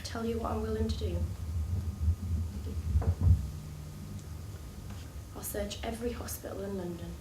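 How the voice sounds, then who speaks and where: An actress playing a devoted, pleading woman in love in a theatrical stage drama, speaking earnestly in deliberate, measured phrases. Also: medium pitch, steady tone, lightly acted. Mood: earnest, self-sacrificing. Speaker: stage actor. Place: theatre stage, distant microphone. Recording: echoey theatre recording, distant, clearly noisy.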